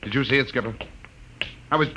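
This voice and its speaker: A man's voice: mid-range voice, fast talker, bright, forceful tone, highs cut off, lightly acted. An actor playing a startled, curious spaceship crewman exploring alien machinery in an old science fiction radio drama. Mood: amazed. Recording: very noisy old radio recording.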